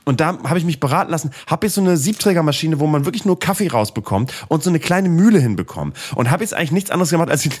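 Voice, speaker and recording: A man's voice: fairly high pitch, expressive, fast talker, few pauses, a little mellow. A middle-aged man in casual podcast chat, telling rambling sarcastic stories and swearing casually. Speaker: podcast host. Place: Cologne.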